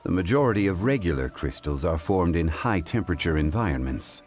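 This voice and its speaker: A man playing a calm, scholarly gentleman in a video game, speaking in a measured, formal and pensive manner. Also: low-pitched, soft background music. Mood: lecturing.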